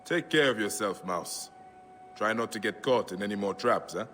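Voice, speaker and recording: An actor playing a calm, dry, righteous Egyptian warrior in a video game. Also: male voice, lowish voice, moderate pace. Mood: wry. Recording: loud music behind voice.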